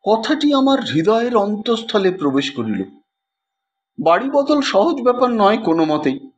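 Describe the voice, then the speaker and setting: A man narrating a literary story in an expressive, theatrical manner, as the host of an audio story series. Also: sits high, moderate pace. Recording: dull lo-fi track with faint noise.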